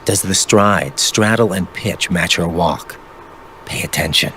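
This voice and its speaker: Deep-voiced, stern scouting mentor. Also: male voice, bright, forceful tone, slight background noise, rough recording. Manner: deliberate, instructive, clipped phrases. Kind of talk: video game voice acting, tutorial briefing.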